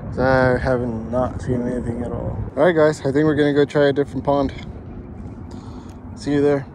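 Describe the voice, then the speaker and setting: A man's voice: medium pitch, fairly quick. Young man vlogging outdoors by a pond. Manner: relaxed, chatty, explains what he is doing. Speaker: fishing vlogger. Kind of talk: casual outdoor vlog narration. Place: outdoors by a pond on a windy day. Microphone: camera-mounted mic, point-of-view and selfie framing. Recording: faint wind and outdoor noise.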